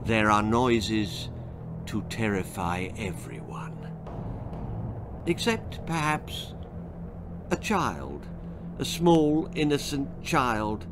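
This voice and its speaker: A man reading a children's comic horror story aloud in a theatrical, suspenseful, deliberate storytelling style. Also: mid-range voice. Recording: music behind the voice. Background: music.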